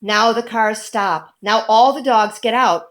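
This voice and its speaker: A woman reading a children's picture book aloud in a deliberate, animated storytelling manner. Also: on the high side, slight background noise.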